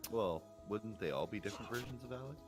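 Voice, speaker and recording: Really deep guy, swoopy lively pitch, dry jokes, game music playing under him. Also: fast talker. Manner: dry, wry quips. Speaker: gamer on a group stream. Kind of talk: casual chat.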